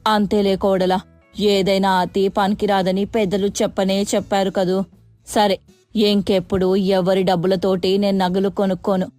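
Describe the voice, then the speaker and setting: A woman's voice: mid-range voice, flat delivery, moderate pace, warm tone, dry recording, soft background music, voice pushed down, lightly acted. A woman voicing a repentant middle-aged mother-in-law, conceding in a subdued manner, in a moral story.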